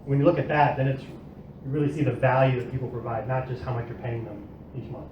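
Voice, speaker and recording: A man's voice: mid-range voice, flat delivery, fast talker. A man giving a conference talk in a plain, explanatory way with frequent fillers. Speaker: conference presenter. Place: conference room. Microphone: distant room recording. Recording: distant, roomy, dull and noisy.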